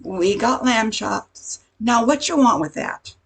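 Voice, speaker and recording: Woman as slow, gravelly, sassy diner waitress. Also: fairly high pitch, expressive, exaggerated voice. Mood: brash, indignant. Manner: tough-talking wisecracks with slangy grammar. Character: brash, touchy diner waitress, sassy and easily offended. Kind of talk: radio comedy play acting.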